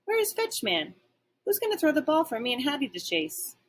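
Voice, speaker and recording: Woman voicing an excitable, cocky storybook dog, very animated. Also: high voice, brisk, voice pushed up. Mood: puzzled, indignant. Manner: exclamatory, dramatic, boastful. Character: excitable, cocky, playful pet dog narrating his adventures. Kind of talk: children's storybook read-aloud.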